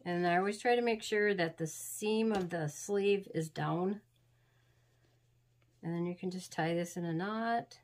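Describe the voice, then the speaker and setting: A woman's voice: on the low side, a little slow, a little mellow. Chatty sniffly woman crafter. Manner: chatty, homey, rambling instructions. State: sniffly, getting over being sick. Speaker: craft channel host. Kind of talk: step-by-step craft tutorial. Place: crafting table indoors.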